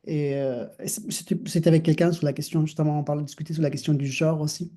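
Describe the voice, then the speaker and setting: Middle-aged Argentine man, dark warm timbre, reflective and halting interview guest. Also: fairly high pitch, fairly even intonation. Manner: thoughtful, many restarts, laughs softly. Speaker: guest, dancer and actor.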